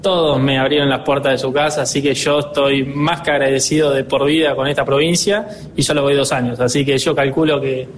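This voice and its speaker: A man's voice: medium pitch, little pitch movement, a little fast, few pauses, slight background noise. Young Argentine man, upbeat and earnest. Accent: Argentine Spanish. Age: 20s. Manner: earnest, upbeat, with many filler hesitations. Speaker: dealership director. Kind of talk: radio interview answers.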